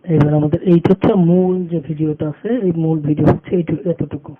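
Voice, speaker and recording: High-voiced man, thin phone-like sound. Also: level tone. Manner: halting, repeats phrases as he works. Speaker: tutorial instructor. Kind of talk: unscripted step-by-step screen tutorial narration. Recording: muffled phone-like audio with background noise.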